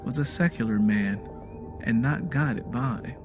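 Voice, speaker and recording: A man's voice: medium pitch, unhurried, over music. A man narrating a scripted dramatic story as its storyteller.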